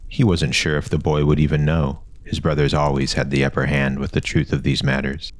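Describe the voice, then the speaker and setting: Very deep-voiced male storyteller. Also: flat delivery, fairly quick, dry recording. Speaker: narrator. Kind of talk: scripted fantasy story narration.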